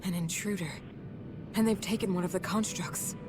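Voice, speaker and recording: A woman's voice: on the low side, normal speed, music in the background, close to an everyday voice. A woman playing a solemn, urgent leader in a video game, warning of danger in a dramatic way. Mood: grave, urgent. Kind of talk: video game cutscene acting.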